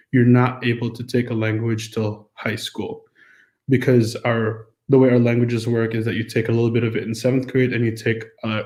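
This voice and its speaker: A man's voice: mid-range voice, darkish tone, reverberant. A man on a school's staff, with an American accent, walking through a course registration form in a casual, explanatory way with many fillers. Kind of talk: screen-recorded walkthrough.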